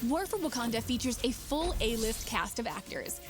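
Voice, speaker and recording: Higher-voiced woman presenter over music. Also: expressive. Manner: polished, measured announcer delivery. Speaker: presenter of a game showcase. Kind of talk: scripted promotional narration. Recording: prominent music under the narration.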